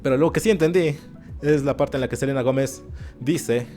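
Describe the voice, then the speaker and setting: A man giving animated, sarcastic commentary in an opinion video. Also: mid-range voice, a little fast. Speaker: YouTube commentator. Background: faint background music.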